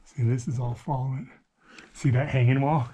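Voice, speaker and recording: A man explores an old mine tunnel and muses aloud about what he sees. His voice is mellow and unhurried, and he often drops it to a hush. A faint hiss sits under the recording. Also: medium pitch, steady tone. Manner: curious, thinking aloud, often hushed to near-whisper. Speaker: mine explorer and channel host. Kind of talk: casual exploring commentary. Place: inside an abandoned mine tunnel.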